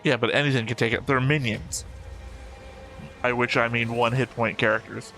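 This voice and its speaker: Bright-voiced man giving wry commentary. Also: medium pitch, fairly even intonation, normal speed, gravelly, faint music behind. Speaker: anime reaction host. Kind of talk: casual reaction commentary.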